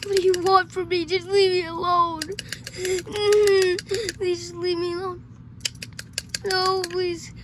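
A very high-pitched, frightened young boy acted by a female performer in a homemade horror skit. He speaks slowly and haltingly, whining and repeating himself as he pleads. The recording is clearly noisy. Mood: pleading, terrified. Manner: whiny, halting, repetitive, pleading. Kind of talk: amateur improvised horror skit.

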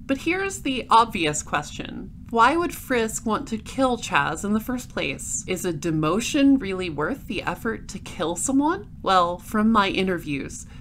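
A woman playing a shrewd, dry space patrol detective in an audio drama, explaining things deliberately. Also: medium pitch, fairly bright tone, a little hiss or hum.

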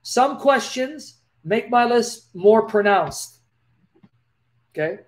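A man with a noticeable lisp and a strikingly high-pitched voice answers viewers' questions live. He reads scripture aloud in a preacherly, animated manner, close to a laptop webcam in a small dim room. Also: slow. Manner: animated, preacherly, gestures while talking. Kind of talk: live Q&A, reading Bible verses aloud.

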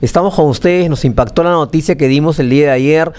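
A man's voice: a little high, quick pace, a little mellow. Emphatic Peruvian male news anchor. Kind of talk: live news commentary and phone interview. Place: small TV news studio, seated at desk.

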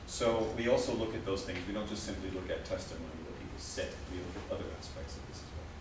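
A man's voice: low-pitched, fast. A man in his forties, an anthropology lecturer, answering audience questions thoughtfully with many restarts in a small library classroom, picked up by a far camera. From United States. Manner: thoughtful, restarts often, gestures while explaining. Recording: echoey room from far off, background hiss.

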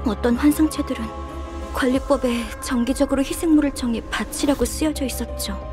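A woman's voice: high-pitched, fairly quick, over music, close to an everyday voice. A woman voicing a guilt-ridden young survivor in a video game. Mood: subdued.

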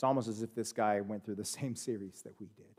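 A man in his thirties with an American accent, preaching a sermon in a church sanctuary on a headset mic, swinging from loud emphatic peaks to quiet asides. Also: lowish voice, fairly even intonation, fast. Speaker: pastor. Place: church sanctuary, standing at a pulpit.

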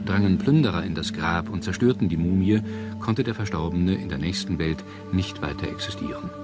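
A man reading scripted documentary narration in a measured, formal manner. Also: low-pitched. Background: background music.

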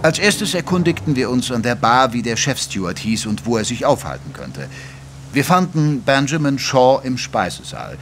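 An actor playing an earnest gentleman doctor who narrates a German audio drama in a measured, literary way. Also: mid-range voice, big pitch swings, slight background noise. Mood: dry, composed. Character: earnest gentleman doctor narrating his detective friend's case.

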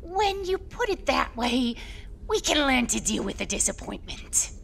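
A woman's voice: sits high, very animated, measured pace, gravelly, noisy background, full character voice. An actress playing a dreamy, melodramatic female sidekick in a radio horror comedy, gushing theatrically. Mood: dreamy, crestfallen.

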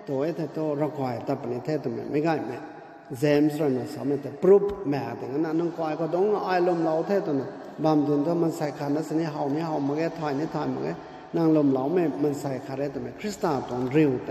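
A man's voice: a little high, warm tone. An older man with a Northeast Indian accent preaching a sermon as pastor, slipping English words into his talk. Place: church hall. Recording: echoey hall with long reverb.

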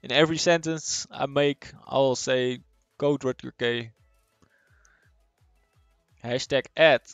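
A young man from the Netherlands with a Dutch accent, chatting casually as he streams a game, answering chat and thinking out loud. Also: medium pitch, fairly even intonation, slow pace, no echo, faint music behind. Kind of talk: live gameplay commentary, reading chat.

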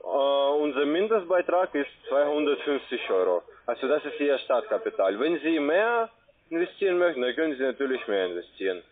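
A tinny telephone line carries a man's medium-pitched voice. He is a non-native German speaker working as a sales agent. His pitch is persuasive but halting, circling back over the same phrases. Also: animated. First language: not German. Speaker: call-centre sales agent. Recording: tinny, band-limited phone line.

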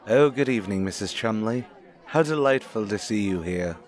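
A man's voice: lowish voice, expressive, moderate pace, lightly acted. Man acting a suave, courtly widower, crowd behind. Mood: courteous. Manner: formal, suave, polite. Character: courtly, cultured rich widower, obsessed with his organ. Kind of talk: old-time radio drama acting.

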